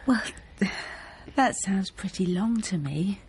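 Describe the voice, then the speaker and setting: A woman's voice: medium pitch, expressive, takes it slowly, darkish tone, a little hiss or hum. An actress playing a strained, earnest middle-aged mother in a naturalistic radio drama, conversational, hesitant and defensive. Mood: tender. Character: strained, earnest mother of two, quietly defiant.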